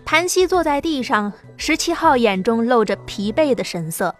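A woman narrating an apocalyptic sci-fi audiobook in a lively, dramatic storytelling manner, with soft music underneath. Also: a little high, normal speed.

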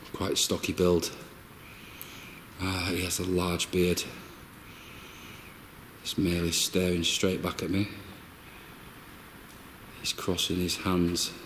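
Slow, low-voiced man on a ghost hunt. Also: fairly bright tone. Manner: halting, searching for words. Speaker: paranormal investigator acting as medium. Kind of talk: describing impressions and calling out to spirits on a ghost hunt. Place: inside a cave at night. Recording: clear background noise and ambience.

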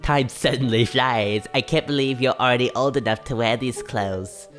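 A man voicing a gentle, doting old grandmother in a video game, soft and reassuring, with game music behind. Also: mid-range voice, moderate pace, close to an everyday voice. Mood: tender.

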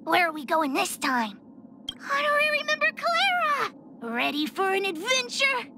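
A woman voicing excitable, cheeky young girls in an anime fantasy game, playful and exclamatory. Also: extremely high pitch, a little slow, exaggerated voice. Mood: excited, cheeky. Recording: music behind the voice.

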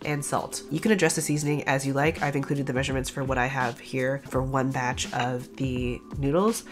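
Congested woman with a very low voice. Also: little pitch movement, brisk. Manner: chatty, rambling asides with many "like"s. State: congested from a cold. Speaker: vegan cooking channel host. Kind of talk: casual voice-over cooking tutorial.

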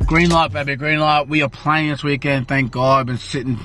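A man's voice: fairly high pitch, little pitch movement, normal speed, sharp tone, soft background music. A man in his thirties chatting casually to camera in an upbeat, slangy hype style. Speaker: vlogger and rugby league player. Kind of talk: casual vlog chat to camera. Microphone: handheld camera at arm's length. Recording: clear background noise, traffic and room sounds.

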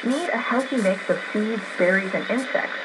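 A woman's voice: mid-range voice, normal speed. A woman reading scripted narration for a nature radio program, received over shortwave. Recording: very noisy shortwave radio reception.

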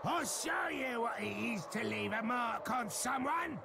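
A man's voice: very high voice, measured pace, very noisy, heavily acted. A man voicing a shamed, vengeful orc warrior in a video game, snarling and wailing through a tormented rant. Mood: vengeful menace. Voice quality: gravelly, snarling. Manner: ranting, wailing, menacing. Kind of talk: video game character acting. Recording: loud music behind the voice. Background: loud game music.

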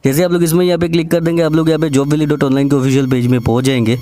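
A man's voice: fairly high pitch, flat delivery, racing, mellow. A man with an Indian accent presenting job vacancies in a tutorial voice-over, explaining step by step and addressing viewers directly. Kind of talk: screen-recorded tutorial voice-over.

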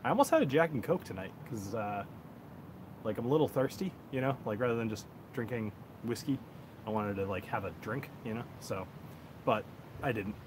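A man's voice: mid-range voice, expressive, fairly quick. A man in his thirties from the United States, rambling casually as he hosts a livestream on his phone outdoors. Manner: laid-back, rambling, chuckles often. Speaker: whiskey reviewer, livestream host. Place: outdoors on a backyard deck. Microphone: cell phone on a tripod. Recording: noisy outdoor phone recording.

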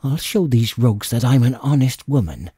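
Guy doing a stubborn honest mum from an old storybook, insistent, pitched up, bit husky. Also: animated, normal speed, mellow, no room sound, clean studio sound. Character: honest, stubborn, frightened innkeeper mother. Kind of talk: audiobook character dialogue.

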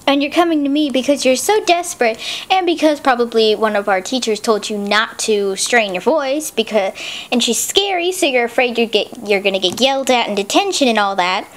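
A performer voicing a smug, laid-back girl who drawls in a lower voice. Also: expressive, moderate pace, fairly bright tone.

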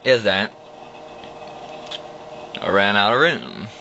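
A man's voice: on the low side, big pitch swings, takes it slowly, creaky, bright, forceful tone. A young man in a casual, rambling walkthrough of an old computer, explaining as he clicks, recorded on a phone at a home desk. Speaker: vlogger showing an old computer. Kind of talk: casual off-the-cuff screen walkthrough. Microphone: phone camera held at the screen. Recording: dull lo-fi phone audio with hum.

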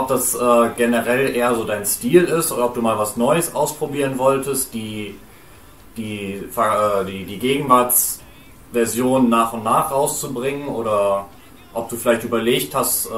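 Halting interviewer voice, far off the mic, roomy echo. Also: mid-range voice, a little hiss or hum. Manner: hesitant, halting questions. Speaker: podcast interviewer. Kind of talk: interview questions.

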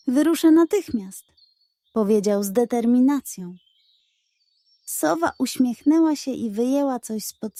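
A woman narrating a children's bedtime story from a script, expressive and carefully enunciated. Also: on the high side, no room sound, clean studio sound.

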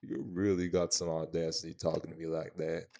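An actor playing an imposing, protective vampire man in an audio roleplay, dry-witted, haughty and measured, with a gravelly voice. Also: rumbling low, monotone, mellow, slight background noise. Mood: teasing, haughty.

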